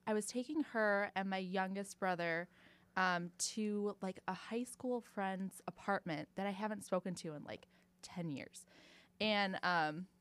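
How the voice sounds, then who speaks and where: A woman's voice: mid-range voice, a bit bright, clean tone, dry recording. A young adult woman, a real estate agent guest, telling a story in casual chat, with many fillers.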